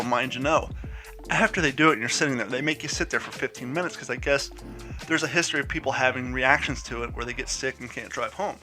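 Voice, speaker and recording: A man's voice: medium pitch, pushed and bright. A man hosting his own podcast, congested from a head cold, telling a rambling personal story with frank, joking candor. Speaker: podcast host. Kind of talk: casual solo podcast talk.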